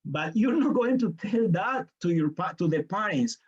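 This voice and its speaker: A man's voice: high-pitched, lively intonation, normal speed, husky, highs cut off. Colombian man leading a teaching workshop. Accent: Colombian Spanish. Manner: explains step by step, briefly voicing students and parents. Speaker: teacher trainer. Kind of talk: online workshop lecture.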